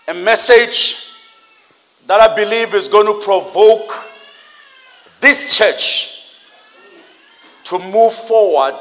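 Middle-aged man, South African accent, sermon delivery, emphatic repetition, slow-paced, sharp bright tone. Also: sits high, long reverb tail. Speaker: preacher. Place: large church hall.

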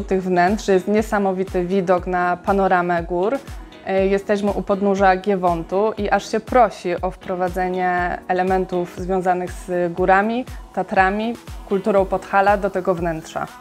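A woman architect calmly explaining her interior design choices in an interview, with occasional filler sounds. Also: mid-range voice, normal speed, soft background music.

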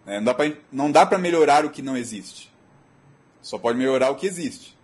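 Husky Brazilian man giving a technical talk. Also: mid-range voice, expressive, a little fast. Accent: Brazilian Portuguese. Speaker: technical speaker. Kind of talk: technical presentation. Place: auditorium.

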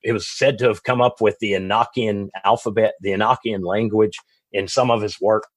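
A man's voice: fairly low pitch, fairly even intonation, normal speed, dry recording. Texan man explaining at length. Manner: rambling, frequent restarts and self-corrections. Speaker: author and guest. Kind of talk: podcast interview, long explanatory answers.

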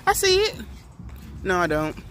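Lively, bright-voiced young woman vlogging outdoors. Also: fairly low pitch, unhurried, a little hiss or hum. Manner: playful, chatty, addresses viewers as y'all. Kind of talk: casual vlog chat. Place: outdoors on a college campus. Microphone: phone camera held at arm's length.

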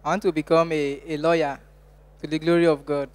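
A seventeen-year-old boy with a Nigerian accent gives a halting testimony. His voice is fairly high, smooth and clear. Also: little pitch movement. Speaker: student giving testimony.

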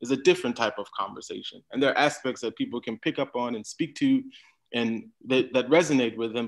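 A man on a video call speaks at a middle pitch in a relaxed, conversational way. His sentences drift and trail off as he circles his point. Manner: casual, loose, trailing phrases. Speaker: panel guest. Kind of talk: panel discussion over video call.

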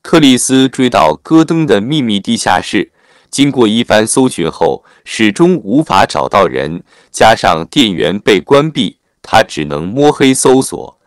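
A man narrates a scripted plot summary in a low voice. His pitch moves up and down in a lively way while he keeps to formal, written phrasing. The sound is dry and close. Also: a little slow, smooth, dry recording. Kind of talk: scripted narration, film recap.

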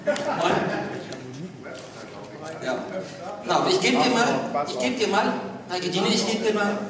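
A man with a fairly high voice hosts a casual stage panel. He sounds far from the microphone in a very echoey hall, with audience noise around him. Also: lively intonation, slow pace, a crowd in the background. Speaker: panel moderator. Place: theatre stage at a fan convention. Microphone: handheld mic, heard from far camera.

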